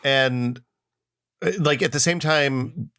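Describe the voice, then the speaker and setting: A man's voice: medium pitch, takes it slowly, sharp tone, clear, resonant tone. A middle-aged man co-hosting a podcast in casual chat, rambling with many restarts and goofy asides. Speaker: podcast co-host.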